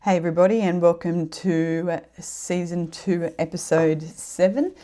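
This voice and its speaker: A woman's voice: fairly low pitch, normal speed, soft background music. A middle-aged woman with a head cold, hosting her own podcast in a casual, earnest, rambling way. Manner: warm, earnest, rambling with fillers. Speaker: podcast host. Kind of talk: casual solo podcast chat.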